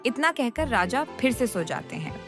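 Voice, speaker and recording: Lively woman narrating a story. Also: on the high side, normal speed, faint music behind.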